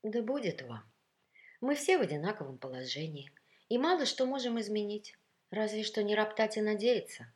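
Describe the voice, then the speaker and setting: A woman's voice: mid-range voice, lively intonation, moderate pace, pitched above the performer's own voice, close to an everyday voice. A woman voicing a world-weary, soothing female garment with a chesty, muffled contralto in a storybook reading. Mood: soothing, resigned.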